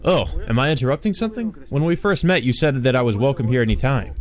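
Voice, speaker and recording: A man's voice: medium pitch, fast talker, voice pushed up, lightly acted. A man voicing an insolent, carefree male visitor in a visual novel, over-familiar and needling in manner. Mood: breezy, familiar.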